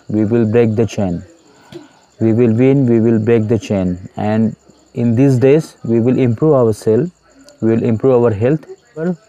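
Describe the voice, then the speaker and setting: A man's voice: mid-range voice, warm tone, rough recording. A man with an Indian English accent gives an earnest motivational talk to his students as a YouTube host, with many hesitations and repeated phrases. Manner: earnest, repetitive, many "uh" pauses.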